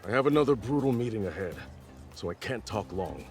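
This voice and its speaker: A tired, dutiful general in a game drama. His low voice moves expressively through formal, measured lines. Music plays faintly behind him. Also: animated. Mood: weary, resolute. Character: weary, dutiful, earnest army general, adult man. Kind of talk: dramatic video game voice acting.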